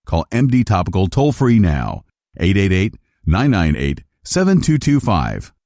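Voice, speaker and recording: Male ad announcer, lowish voice, very lively pitch swings, urgent, dry. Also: no echo. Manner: urgent, repeats phone number. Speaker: commercial announcer. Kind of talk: advertisement read.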